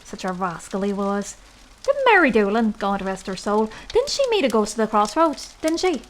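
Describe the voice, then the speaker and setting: A woman's voice: medium pitch, very animated, normal speed, slight background noise, natural voice, poor audio. A woman playing a nosy, superstitious village gossip with an Irish accent and a chatty, folksy way of talking in an audio drama. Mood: gossipy.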